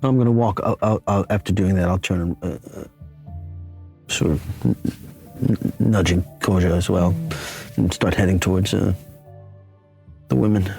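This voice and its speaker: A man with a deep voice playing a tabletop game, casually saying what his character does. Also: steady tone, moderate pace, gravelly, long pauses, mellow, faint music behind. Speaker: player.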